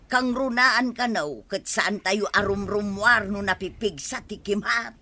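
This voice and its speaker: A woman's voice: mid-range voice, unhurried, sharp tone. A woman playing an exasperated, doubting village wife with an Ilocano accent in a comedic radio drama. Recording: faint noise and ambience.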